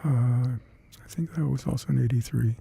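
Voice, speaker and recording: An older man with Hungarian as his first language, recalling past work in an oral history interview, halting and reflective with many fillers and restarts. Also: mid-range voice, steady tone, vocal fry, warm tone, slightly rough. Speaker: interviewee, retired scientist.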